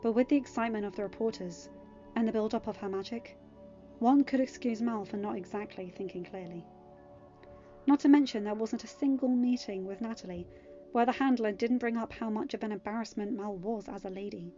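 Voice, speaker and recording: A woman's voice: on the high side, a little fast, mellow, lo-fi sound, soft background music. A woman reading scripted fiction as an audiobook narrator.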